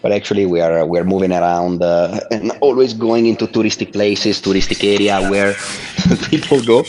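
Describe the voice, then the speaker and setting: A middle-aged man from Italy talks in accented English in a fairly low voice. He pauses often with fillers and sometimes laughs into his words. He sounds like he is on a video call. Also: fairly even intonation, a little mellow, slight background noise. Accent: Italian. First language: Italian. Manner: hesitant, many uh fillers, laughs while talking. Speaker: guest.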